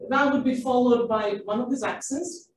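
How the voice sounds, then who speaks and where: A woman's voice: on the high side, normal speed, a little mellow. Woman researcher giving a seminar talk, explains step by step and corrects herself, recorded from a distance in a very echoey room with faint hiss. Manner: explanatory, with frequent fillers and self-corrections. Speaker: researcher presenting a study. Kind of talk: academic seminar presentation.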